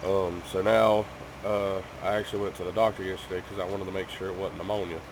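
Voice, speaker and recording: A man's voice: low-pitched, flat delivery, fast, noisy background. A man who is sick with a cough, chatting casually to his viewers at home in a rambling way, full of ums and restarts. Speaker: gaming YouTuber.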